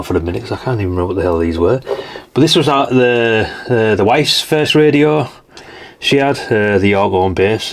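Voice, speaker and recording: A middle-aged man chatting casually and unscripted as he shows things, mildly sweary and full of tag questions. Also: on the low side. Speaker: host of a retro radio collecting channel. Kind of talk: casual unscripted show-and-tell chat.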